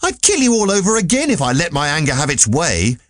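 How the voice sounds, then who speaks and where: A man's voice: a little high, huge pitch swings, fairly quick, a bit bright, no room sound, pitched above the performer's own voice. An actor voicing an indignant young man in an audiobook story, scolding with exasperated emphasis. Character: Candide, indignant young man losing his temper.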